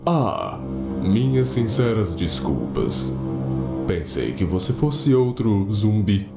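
A man voicing a brooding, morbid, middle-aged police chief in a horror game dub, with slow theatrical delivery. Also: fairly low pitch, sing-song, creaky, heavily acted. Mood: gloomy, menacing. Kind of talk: fan-dubbed horror game acting. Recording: echoey, under loud scary music.

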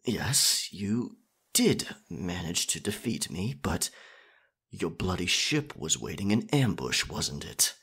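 Man acting a husky, defiant pirate captain. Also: low-pitched, sing-song, a bit bright, full character voice. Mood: sullen, questioning. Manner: slow, theatrical, archaic pirate phrasing. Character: captured, proud, defiant male pirate captain. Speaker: ASMR roleplay voice actor. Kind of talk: pirate roleplay ASMR acting.

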